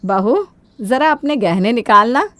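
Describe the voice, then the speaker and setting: A woman acts out an older mother-in-law from a cartoon moral story. She is greedy and domineering, snapping out sharp-tongued orders in a fairly high voice. The sound is close and dry. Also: lively intonation, unhurried, dry recording. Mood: scolding.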